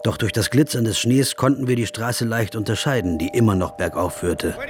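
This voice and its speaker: A man's voice: fairly low pitch, lightly acted. An actor playing an observant, reflective traveller who recounts his journey as the narrator of a literary radio drama. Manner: descriptive, measured storytelling. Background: music.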